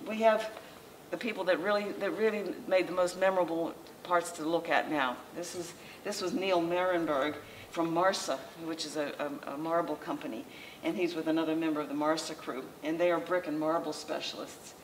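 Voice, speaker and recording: An older woman talks a small audience through her slides in a low, unhurried voice, stopping often to restart her sentences. She is picked up from a distance by a camera mic. Faint room noise sits under her words. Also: steady tone. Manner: conversational, halting with frequent restarts and fillers. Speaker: local historical society president giving a lecture. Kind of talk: informal slideshow lecture. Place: library meeting room, indoors, small audience. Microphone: far camera, masked speaker.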